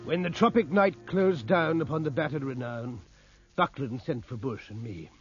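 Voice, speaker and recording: A man's voice: mid-range voice, moderate pace, soft background music, lightly acted. An actor playing a grave naval officer who narrates his own adventures in a scripted 1950s radio drama. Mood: grave, atmospheric. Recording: muffled vintage radio recording.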